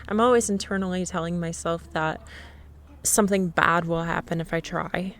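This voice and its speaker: A young woman in a scripted ASMR roleplay, playing a shy, nervous stranger who rambles and laughs nervously in a soft voice. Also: on the low side, steady tone, normal speed.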